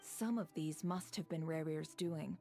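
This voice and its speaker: A woman's voice: low voice, a little slow, vocal fry, dark tone, faint music behind. A woman playing a composed, shrewd female strategist in fantasy video game dialogue. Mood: measured.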